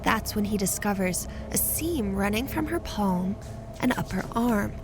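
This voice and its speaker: A woman's voice: medium pitch, animated, unhurried. A woman reading scripted story narration for an audio drama as the narrator. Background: music.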